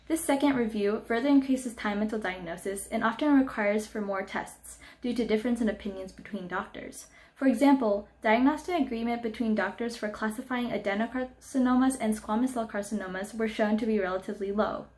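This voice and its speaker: A teenage girl reads her section of a research presentation with care. Her voice is fairly high. She speaks from a room at home into a laptop mic. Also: brisk, few pauses, a little mellow. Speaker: student presenter. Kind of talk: prepared project presentation.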